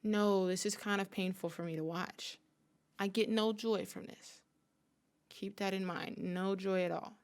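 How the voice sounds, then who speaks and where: A woman's voice: medium pitch, fairly even intonation, measured pace, a little mellow, clean tone. A woman giving playful reaction commentary to camera, mock-serious and theatrical, hammering phrases through repetition. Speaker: sports fan reaction video host.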